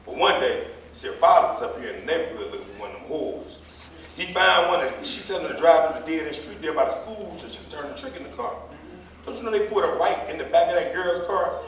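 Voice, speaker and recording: A man's voice: on the high side, animated, fast, fairly bright tone. A man playing a gossipy, combative older jitney driver in a stage play reading, telling stories in a folksy, rambling, colloquial way. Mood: storytelling. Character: gossipy, combative old male jitney driver, scolding the young. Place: theater or hall staged reading, far mic. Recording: echoey hall, distant mic, faint noise.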